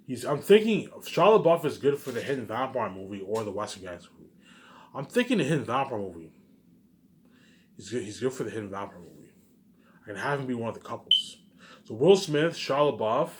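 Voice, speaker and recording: A man talking casually in a rambling, repetitive monologue, musing aloud like a vlogger. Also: on the low side. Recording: noisy amateur recording.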